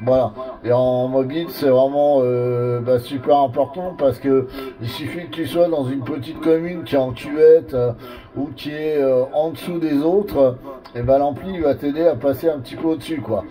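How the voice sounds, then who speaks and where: A man's voice: medium pitch, fairly even intonation, moderate pace, dark tone. A man gives colloquial, explanatory advice in a casual two-way radio chat. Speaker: amateur radio operator. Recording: distant two-way radio sound.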